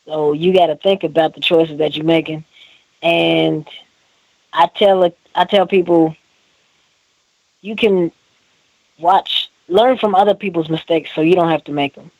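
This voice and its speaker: A man with a fairly high voice talks as an interview guest, informal and earnest. His phrasing is slangy and conversational, and the sound is dry and close, with little room on it. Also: steady tone, measured pace, creaky, pauses often, darkish tone, no room sound, poor audio. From Oklahoma, United States. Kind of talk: casual interview talk.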